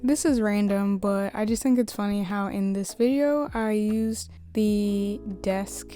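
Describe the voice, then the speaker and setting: A young woman with a slightly hoarse voice, chatting casually in a voice-over about her art. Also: medium pitch, a little slow, warm tone, soft background music. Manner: chatty, rambling, laughs often. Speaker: art YouTuber. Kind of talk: casual voice-over while drawing. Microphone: USB studio microphone.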